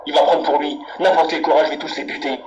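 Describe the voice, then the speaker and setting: A man's voice: on the high side, steady tone, fast talker, natural voice. A man putting on a menacing, candy-obsessed angry customer in a prank phone call, ranting and swearing insistently. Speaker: prank caller. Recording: very noisy phone prank recording.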